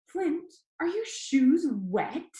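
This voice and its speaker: Woman acting a folksy grandmother, high-pitched, anxious, drawn-out scolding, storybook read-aloud, roomy echo. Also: sing-song, warm tone, full character voice. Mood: sternly scolding. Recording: echoey room.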